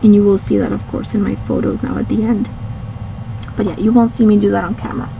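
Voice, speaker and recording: A woman with a slightly hoarse, raspy voice casually narrating her craft video, rambling with many ums and restarts. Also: medium pitch, flat delivery, fast, noisy background. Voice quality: raspy, catching a cold. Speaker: scrapbooking channel host.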